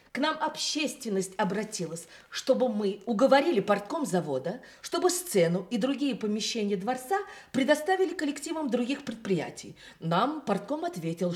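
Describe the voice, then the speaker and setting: A woman's voice: mid-range voice, natural voice. An actress playing a female party committee secretary in a Soviet radio play, formal and precise in official phrasing.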